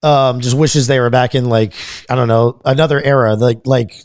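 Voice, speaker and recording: A man's voice: mid-range voice, fairly even intonation, normal speed, darkish tone, dry recording, studio quality. A man from Florida with a Florida accent, chatting casually as a podcast host and rambling with frequent repeats and restarts. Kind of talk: casual chat.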